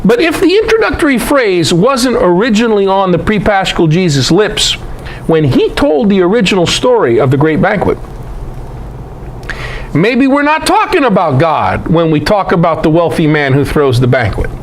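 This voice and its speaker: A man's voice: high-pitched, sing-song, moderate pace, slight background noise, low-quality recording. A man giving an informal, irreverent scripture lecture in an emphatic way, often putting rhetorical questions to his listeners. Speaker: Bible teacher, presenter.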